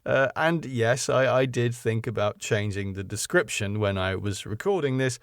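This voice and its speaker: A man's voice: medium pitch, expressive, normal speed, dry recording, clean studio sound. A middle-aged man with a British accent, narrating and chatting as a jaunty, self-deprecating podcast host. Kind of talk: scripted story narration and chatty podcast outro.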